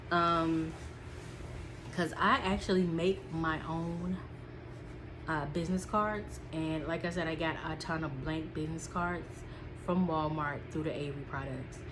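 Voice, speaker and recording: Stuffy-nosed woman, low voice. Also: noisy background. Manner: chatty, with frequent "um" and repeats. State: stuffy, sniffling. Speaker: small business vlogger. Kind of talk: casual talk from notes.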